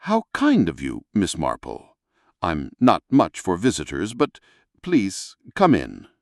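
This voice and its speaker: A man's voice: deep voice, wildly swooping pitch, takes it slowly, vocal fry, no room sound, studio quality, full character voice. A man voicing an uneasy, reclusive faded film star with tight, brittle politeness. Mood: guarded.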